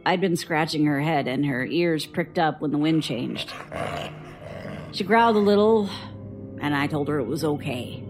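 An actress playing a weary, guarded survivor giving dry, reluctant testimony in an audio drama. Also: female voice, sits low, normal speed, darkish tone, faint music behind, natural voice. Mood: uneasy, reflective. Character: guarded, weary woman survivor recounting childhood strangeness.

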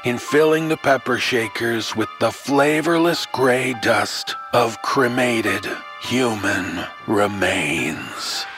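Man playing a slow-talking folksy storyteller uncle over music. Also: medium pitch, natural voice. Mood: ominous relish. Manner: unhurried, theatrical, drawn-out phrasing with coy asides. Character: folksy television storyteller uncle, genial and darkly sly. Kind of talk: old-time TV horror storytelling.

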